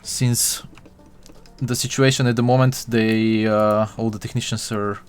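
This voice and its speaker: A man's voice: on the low side, little pitch movement, measured pace, smooth, faint music behind. A young man from Bulgaria chatting with his live-stream viewers while he models, full of fillers and restarts.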